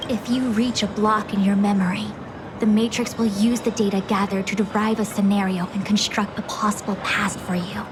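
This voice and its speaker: A woman's voice: mid-range voice, flat delivery, moderate pace, background noise, lightly acted. A woman voicing a composed, authoritative female diviner in a video game, speaking formally and precisely. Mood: businesslike.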